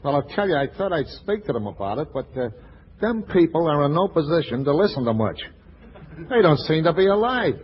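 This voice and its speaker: A man's voice: a little high, very animated, fairly quick, husky. An American actor playing a dim gangster henchman turned servant in 1940s radio comedy, hesitant, slangy and understated. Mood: sheepish, hesitant. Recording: dull 1940s radio recording.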